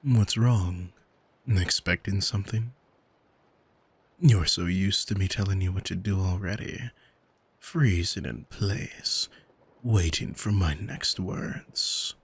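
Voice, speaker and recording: A man playing an arrogant, manipulative demon in an ASMR roleplay, coaxing in a smug, soothing, hypnotic manner with a breathy, husky voice. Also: sits low, no room sound, heavily acted. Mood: possessive, coaxing. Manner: smug, deliberate, soothing, commanding. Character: arrogant male demon, soul-owning manipulator, calm and seductive.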